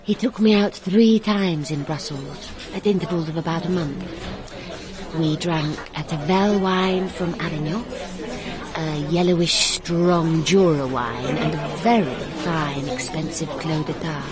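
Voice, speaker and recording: An actress playing a cultured, candid young woman in a British radio drama, speaking and narrating in a wistful, measured way. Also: sits low, crowd noise. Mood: wistful recollection. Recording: radio drama with clear background noise.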